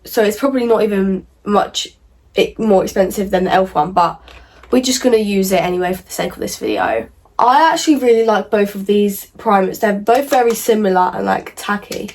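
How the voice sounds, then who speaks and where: A young woman, croaky from a cold, chatting casually through a makeup tutorial with lots of "like" and "um". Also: mid-range voice, expressive, fairly quick, darkish tone. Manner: chatty, rambling, many fillers like "like" and "um". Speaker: beauty vlogger. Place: at home in front of a mirror.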